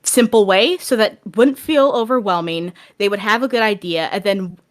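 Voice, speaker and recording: A woman's voice: mid-range voice, normal speed. A woman speaking as a podcast guest, explaining things in a casual, informal and encouraging way.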